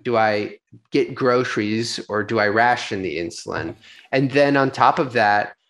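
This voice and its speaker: A man's voice: fairly low pitch, a little slow, fairly bright tone. A young adult man, an actor, chatting casually in an interview, laughing easily and restarting his sentences. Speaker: actor and filmmaker guest.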